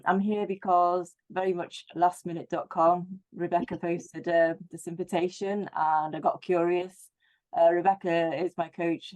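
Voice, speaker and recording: A woman who works as a property investor, introducing herself on a video call in a brisk, matter-of-fact way. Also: fairly low pitch, darkish tone, poor audio. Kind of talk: casual self-introduction.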